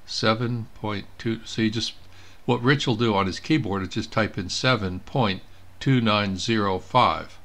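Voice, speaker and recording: A man giving an informal step-by-step software demonstration, thinking aloud as he clicks through each step. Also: on the low side, measured pace. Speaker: presenter of a software tutorial. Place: indoors at a computer.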